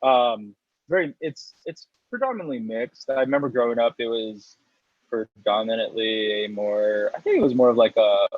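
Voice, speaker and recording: A man's voice: medium pitch, moderate pace, no room sound, phone-quality sound. A man in his thirties, a guest in a casual interview, rambling through his story with restarts and small laughs. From United States. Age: 30s. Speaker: guest, coffee roaster and business owner.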